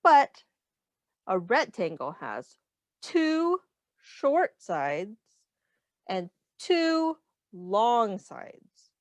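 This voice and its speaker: A woman's voice: a little high, sing-song, very slow, long pauses, no room sound. A woman reading a picture book aloud as a teacher, explaining simply to young children and asking them questions. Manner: simple instructive phrasing aimed at young children.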